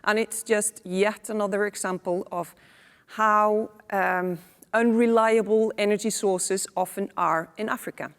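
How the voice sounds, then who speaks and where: A woman in her forties from Iceland, moderating a panel with relaxed conversational asides and fillers, on a lapel mic in a studio. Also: mid-range voice, unhurried, smooth, in a large hall. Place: indoor studio set.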